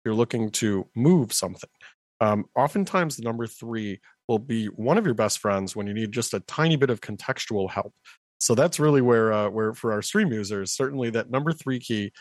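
A man's voice: mid-range voice, lively intonation, moderate pace, no echo. A man giving enthusiastic, chatty explanations as a guest in an interview, with occasional fillers. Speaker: director of partnerships guest. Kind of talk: casual interview talk.

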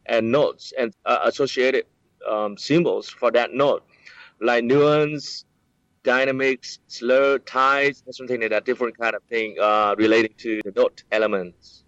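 A man's voice: mid-range voice, steady tone, measured pace, fairly bright tone, no echo. Hesitant man, dull lo-fi sound. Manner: hesitant, many fillers and restarts. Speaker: app developer, interviewee. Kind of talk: explaining, casual interview.

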